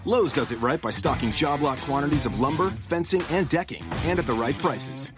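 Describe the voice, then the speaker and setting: A man reading a scripted radio commercial in an upbeat, steady announcer delivery. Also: fairly high pitch, a little fast, over music.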